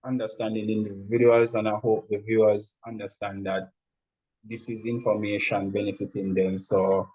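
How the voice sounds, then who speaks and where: A man speaking as an interview guest, explaining things in a measured, instructive way. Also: lowish voice, level tone, warm tone, slightly rough, poor audio. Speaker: interview guest, music industry expert.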